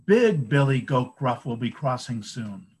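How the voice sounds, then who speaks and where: A man's voice: medium pitch, big pitch swings, a little slow, breathy edge. A man doing a bleating middle billy goat. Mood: begging. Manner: bleating, begging. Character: middle-sized male billy goat, begging.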